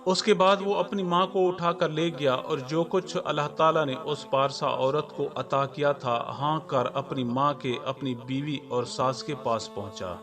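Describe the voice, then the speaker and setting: A man's voice: on the high side, a little slow, hardly any pauses. Male story narrator over background music. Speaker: narrator and channel host. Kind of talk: scripted moral story narration in Urdu storytelling manner.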